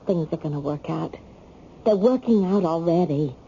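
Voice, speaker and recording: A woman's voice: lowish voice, moderate pace, mellow, natural voice. An actress playing a devoted, earnest wife who soothes and pleads with her husband in an old-time radio drama. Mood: reassuring. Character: devoted, reassuring young wife of an ex-con. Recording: dull, lightly noisy old radio recording.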